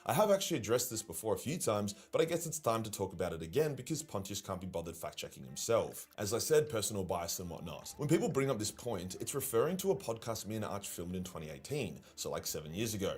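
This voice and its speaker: Low husky man rebutting fast, lively and argumentative. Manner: brisk, defensive, argumentative. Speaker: YouTuber. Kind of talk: scripted response video.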